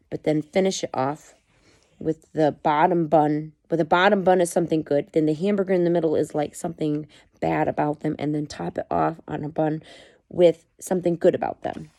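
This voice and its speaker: A woman's voice: sits low, gravelly, dark tone, dry recording, studio quality. A mother reading a book aloud to her children at home, stopping often to comment and explain. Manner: chatty, stops to comment and explain to listeners.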